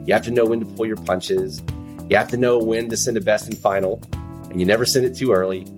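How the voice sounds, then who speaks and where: A man's voice: lowish voice, steady tone, quick pace, soft background music. A man speaking as an interview guest, laid-back and thoughtful, laughing easily. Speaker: guest, sales leader. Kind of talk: casual interview.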